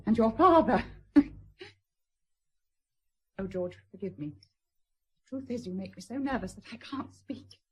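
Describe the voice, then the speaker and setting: An actress playing a proud, nervous, wounded estranged wife, middle-aged, with a British accent and a formal, hesitant manner in a period radio drama. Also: female voice, on the high side, expressive, unhurried, pauses often, husky, full character voice. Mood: nervous, bitter.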